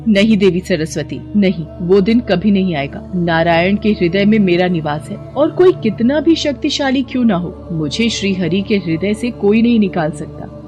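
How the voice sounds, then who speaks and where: A woman's voice: medium pitch, expressive, moderate pace, few pauses, a little mellow, lo-fi sound, soft background music, close to an everyday voice. A woman voicing a proud, quarrelsome goddess in formal, declamatory speech for a devotional cartoon. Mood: indignant, haughty. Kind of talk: Hindi devotional cartoon dialogue.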